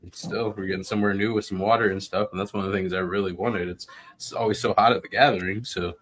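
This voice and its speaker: A man with a lowish voice talks casually on a podcast panel. He is conversational and a little halting, reworking his phrases as he speaks. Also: steady tone, fairly bright tone, a little hiss or hum, low-quality recording. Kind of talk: casual chat.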